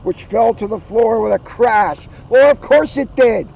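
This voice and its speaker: Male storybook reader, high register, playful emphatic delivery, slightly dull outdoor recording with vehicles behind. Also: a little slow, creaky, a little mellow. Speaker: police officer reading a children's book aloud. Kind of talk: read-aloud storytelling with joking asides. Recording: muffled, outdoors with vehicle noise. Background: outdoor ambience, passing cars.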